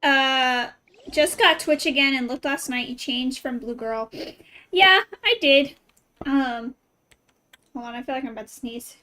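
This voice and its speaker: A woman's voice: high voice, a little slow, bright, forceful tone. A woman livestreaming a game, chatting casually and reacting with playful exclamations. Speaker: game streamer.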